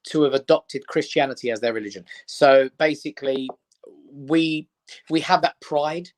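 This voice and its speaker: A man's voice: on the high side, moderate pace. A British-born man, Armenian his first language, chatting upbeat with lots of fillers as a comedian guest. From Britain. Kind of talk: casual chat.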